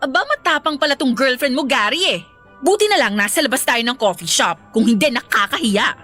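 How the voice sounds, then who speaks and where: A brazen young other woman with a Filipino accent. Her delivery is sassy and dismissive, sharply bright and very animated, in radio drama style. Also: sits high, fairly quick, faint music behind, exaggerated voice. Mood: haughty scorn.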